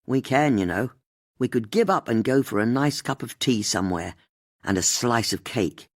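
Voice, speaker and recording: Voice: mid-range voice, expressive, normal speed, no echo. A narrator voicing a blunt, dry, grumbling middle-aged woman in an audiobook mystery.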